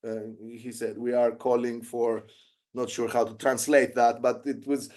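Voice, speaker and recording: A man's voice: mid-range voice, little pitch movement, measured pace, darkish tone. A man with a Greek accent, answering interview questions at length with frequent fillers and restarts. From Greece. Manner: hesitant, many fillers and restarts. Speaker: guest filmmaker.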